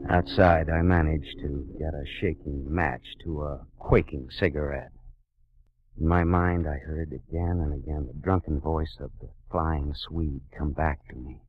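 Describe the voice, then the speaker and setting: An actor playing a hard-boiled private detective who narrates in terse, dry first-person lines in a 1940s radio drama. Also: male voice, a bass voice, fairly even intonation, unhurried. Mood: tense. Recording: dull vintage radio recording with music.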